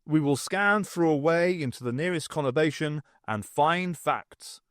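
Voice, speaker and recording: A man's voice: a little high, animated, measured pace, no room sound, clean studio sound. A man voicing tentacled alien data pirates who speak in clipped, formulaic statements for an audiobook. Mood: commanding.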